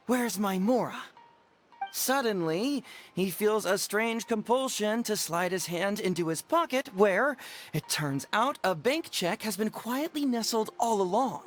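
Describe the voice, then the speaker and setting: A woman plays a formal storyteller narrating a game scene in a medium-pitched voice. She is measured and dramatic, building suspense like a tale-teller. Faint music sits underneath. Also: lightly acted. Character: composed storyteller narrating a role-played tale.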